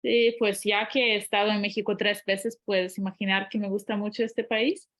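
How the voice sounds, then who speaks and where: A woman's voice: medium pitch, flat delivery, normal speed, a bit bright. A woman talking casually in a second language, hesitating often with fillers and restarts. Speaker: guest polyglot. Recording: slightly dull lo-fi audio.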